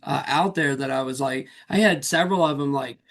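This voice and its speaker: Animated man co-hosting a podcast. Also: medium pitch. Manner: animated, self-mocking, quick asides. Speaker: podcast co-host. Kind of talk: casual podcast chat.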